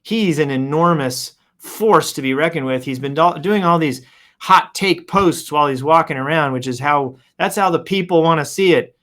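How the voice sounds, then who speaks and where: A man's voice: fairly high pitch, moderate pace, a bit bright. A man hosting a show, casually reading posts aloud and improvising commentary with playful announcer flourishes and wry asides.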